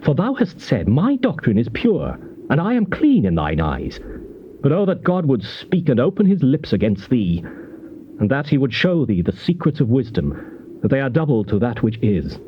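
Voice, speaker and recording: A slightly noisy, muffled tape carries a stern, righteous man admonishing in grand scriptural language. He declaims like a classical stage player, his mid-pitched voice rising and falling dramatically. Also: huge pitch swings, normal speed. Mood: stern rebuke. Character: stern, accusing righteous elder rebuking a friend. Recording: muffled old cassette tape, faint noise.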